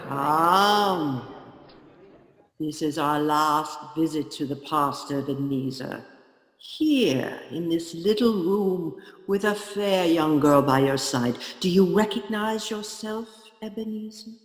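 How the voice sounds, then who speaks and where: A man's voice: a little high, animated, takes it slowly. A man playing a grave, commanding ghostly spirit guide in a radio play, with formal speech and ghostly reverb. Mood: solemn, relentless. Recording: long echoing hall reverb.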